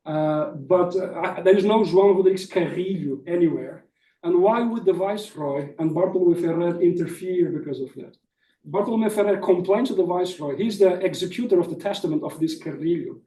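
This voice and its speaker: High-pitched man presenting in an echoey room. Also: a little fast, mellow. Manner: explanatory, often tags points with "right?". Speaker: academic presenter answering questions. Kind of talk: spontaneous academic question-and-answer. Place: indoor conference room. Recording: echoey room, distant mic.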